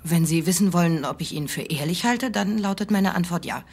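An actress playing a frank, slightly uneasy radio talk host in a radio drama. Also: female voice, lowish voice, brisk, a little mellow, husky. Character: wary, frank female radio talk host facing her boss.

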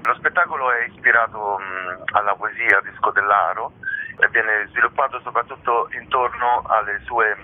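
Low-voiced man on a tinny phone line. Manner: hesitant, with frequent drawn-out hums while thinking. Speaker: theatre company director interviewed about a show. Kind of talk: explanatory interview answers.

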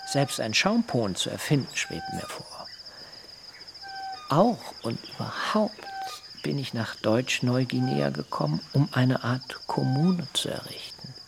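A man's voice: mid-range voice, wildly swooping pitch, takes it slowly, heavily acted. An actor playing a shy, thin young idealist in a radio drama, earnest and hesitant about his grand plans. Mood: earnest, eager. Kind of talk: German radio drama acting. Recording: clear background ambience noise.

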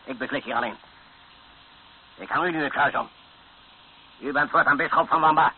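An actor playing a brutal, domineering rebel colonel in a radio drama, barking commands. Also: male voice, medium pitch, normal speed, close to an everyday voice. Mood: menacing, commanding. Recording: muffled lo-fi radio recording with hiss.

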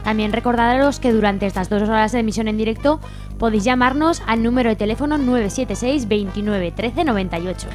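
A woman's voice: on the high side, brisk, a little mellow. A woman with an accent from Spain, presenting and reading scripted material as a radio co-host in a studio. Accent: Spanish, from Spain. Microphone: studio microphone. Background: music.

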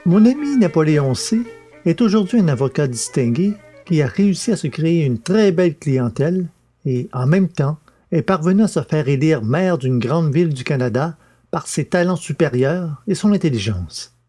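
Narrateur de livre audio, voix assez haute. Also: male voice, fairly high pitch, expressive, normal speed, mellow, husky, faint music behind. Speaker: narrator and presenter.

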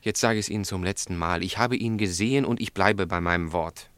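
Deep male voice, upright stubborn shopkeeper, firm and curt, dry close sound, radio play. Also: a little fast, fairly bright tone, smooth, dry recording. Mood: firm, defensive. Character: Fred Collins, stubborn upright snack-bar owner, troubled eyewitness. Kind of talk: German radio drama acting.

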